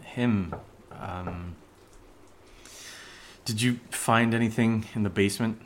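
A man's voice: fairly low pitch, slow, a little hiss or hum. An actor playing a nervous young man who rambles and stammers through a spooky story in a horror audio drama. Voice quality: hesitant, stammering, rambling with many fillers.

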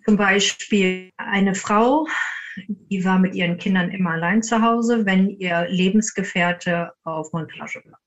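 A woman's voice: medium pitch, measured pace. Dull-sounding recording of a woman recounting anecdotes. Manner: acts out quoted dialogue while recounting. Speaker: interviewed amateur ghost hunter. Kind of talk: conversational anecdote telling.

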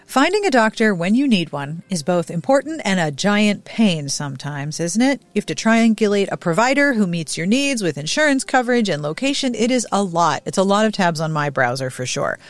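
A woman's voice: medium pitch, big pitch swings, brisk, hardly any pauses, no room sound. A woman hosting a podcast, reading scripted intros and sponsor spots in a chatty, emphatic way. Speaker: podcast host. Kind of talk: scripted podcast intro and host-read ads.

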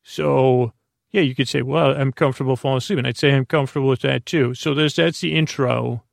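A man hosting a bedtime podcast in a rambling, meandering monologue, halting and digressive, with a gravelly, creaky voice. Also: medium pitch, fairly even intonation, no room sound, studio quality. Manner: halting, digressive, repeats and trails off. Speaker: host of a bedtime sleep podcast.

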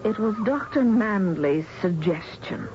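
A woman's voice: medium pitch, lively intonation, unhurried, lightly acted. Woman playing a genteel young heroine, muffled recording. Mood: anxious. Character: polite, troubled young woman, the story's narrating heroine. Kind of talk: 1970s radio drama acting and narration. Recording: dull, noisy old radio recording.

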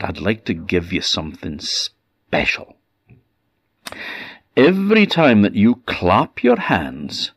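A man's voice: sits low, no echo, studio quality, exaggerated voice. A man voicing a cheery, proud little male fart creature, in a slightly dull recording. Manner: boastful, jolly.

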